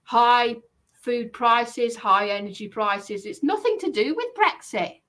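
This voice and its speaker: A woman's voice: on the high side, lively intonation, slow, a bit bright. A British woman chatting casually about politics, making her points emphatically. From United Kingdom.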